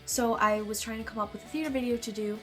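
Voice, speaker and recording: A woman's voice: fairly high pitch, steady tone, fast talker, a bit bright, soft background music. A teenage girl with a nasal, congested voice from a cold, chatting in a bubbly way in her bedroom. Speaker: vlogger. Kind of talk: casual chat answering a tag.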